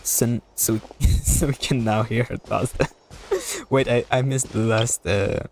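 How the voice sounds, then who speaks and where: A man giving casual live commentary as a game streamer, rambling and halting as he repeats words. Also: mid-range voice, over music.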